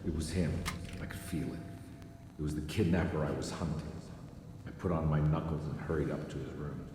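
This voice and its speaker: Deep-voiced, brooding male detective. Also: steady tone, a little fast, very noisy. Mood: world-weary. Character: brooding, guilt-ridden male private detective, world-weary. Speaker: video game protagonist, private detective. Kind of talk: noir narration and dramatic game dialogue.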